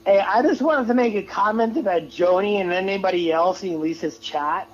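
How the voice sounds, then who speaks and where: A male caller making a casual comment in a halting, repetitive way over a phone line. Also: high-pitched. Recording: dull, noisy phone line.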